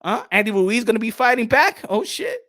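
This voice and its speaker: A young adult man hosting a casual boxing livestream, animated and repeating his phrases as he reads out the chat. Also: very high-pitched, brisk. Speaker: boxing channel host.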